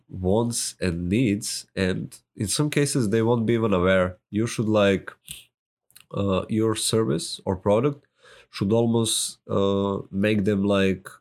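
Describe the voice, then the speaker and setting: Slow, low-voiced man with a Serbian accent. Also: darkish tone. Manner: hesitant, many filler words and restarts. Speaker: solopreneur sharing marketing advice. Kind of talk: casual talk to camera.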